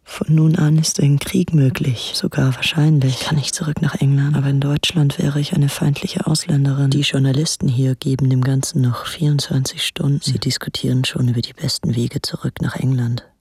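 A woman's voice: rumbling low, little pitch movement, normal speed, few pauses, dark tone, slightly rough, dry recording, full character voice. An actress playing a young, fanatical and defiant Englishwoman reading her diary in a radio play. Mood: urgent, hushed.

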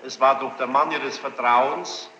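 A man questioning a witness as a presiding judge, formal and probing, choosing his words deliberately with pointed challenges. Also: mid-range voice, steady tone, bright, forceful tone. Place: large reverberant courtroom. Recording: muffled, noisy archival courtroom tape, echoing hall.

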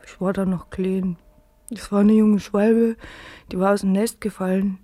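An actress plays a fragile woman in her early thirties in a radio drama. Her medium-pitched voice is hesitant and weary, stumbling over words and often thinning into a breathy murmur. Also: level tone, warm tone, close to an everyday voice. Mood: hesitant, wistful. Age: 30s. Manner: halting, stammering, often trailing into breathy murmurs. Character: fragile, weary, withdrawn woman of thirty-two working night shifts. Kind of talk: German radio drama acting.

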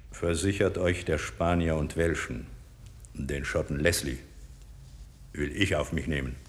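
A man's voice: extremely low pitch, fairly even intonation, measured pace. A man playing a bold, resolute military conspirator, declaiming in a 1950s German radio drama. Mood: resolute, rousing.

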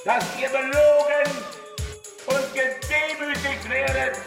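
A defiant dissident leader in a radio play, his voice pitched very high. He declaims slowly and insistently, echoing as if from a telescreen, with music underneath. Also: steady tone, vocal fry, full character voice. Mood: impassioned, solemn. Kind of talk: radio drama political oratory.

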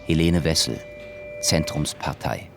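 A man announcing speakers and their parties in a crisp, matter-of-fact way for a radio documentary drama. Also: a bass voice, expressive, unhurried, fairly bright tone, faint music behind. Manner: clipped, matter-of-fact naming of people and parties.

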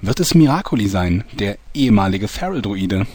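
A man's voice: on the low side, sing-song, moderate pace, a little mellow. A man playing a theatrical, mock-suspenseful storyteller narrating a scripted parody soap. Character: storytelling narrator, a mock-dramatic fairy-tale uncle.

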